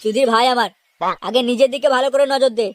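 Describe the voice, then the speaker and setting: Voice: medium pitch, fairly even intonation, fast talker, noisy background, natural voice. A performer voicing a brash, foul-mouthed, hot-headed young man in a comedy cartoon, complaining and confrontational. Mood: accusing. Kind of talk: comedy cartoon dialogue in colloquial Bengali. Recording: music behind the voice.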